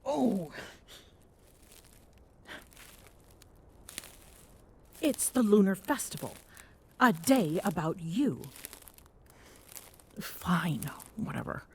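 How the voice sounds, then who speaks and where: A woman voicing a tall, proud Amazonian queen who is smitten and flustered, speaking haltingly in regal phrases for an intimate ASMR roleplay. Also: mid-range voice, sing-song, a little mellow, slight background noise. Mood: grudging, soft.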